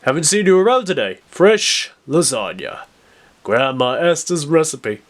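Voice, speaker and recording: A man voicing a warm, easygoing middle-aged father in an audio drama, folksy and familiar. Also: fairly high pitch, animated, unhurried. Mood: cheerful, fatherly.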